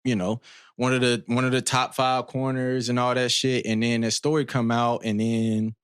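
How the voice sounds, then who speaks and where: Man, mid-pitched voice, casual host, slangy, halting, dry sound. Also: level tone, clean tone, dry recording. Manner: loose, slangy questioning with halting restarts. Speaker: podcast host. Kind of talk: casual chat.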